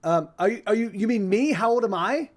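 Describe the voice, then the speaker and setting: A man's voice: high voice, lively intonation, fast talker. A man in his forties, a musician chatting casually with stream viewers between songs, rambling and often repeating and restarting his words. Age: 40s.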